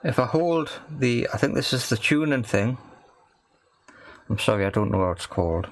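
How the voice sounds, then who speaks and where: A man's voice: medium pitch, lively intonation, moderate pace. A man giving casual, tentative and self-deprecating commentary as he does a hands-on repair, muttering his thoughts aloud. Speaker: amateur repair video maker. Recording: faint background noise with workbench clicks.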